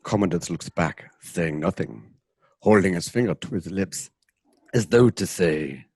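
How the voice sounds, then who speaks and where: Deep, husky male narrator. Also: animated, unhurried, darkish tone, no room sound. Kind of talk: scripted narration of a radio drama.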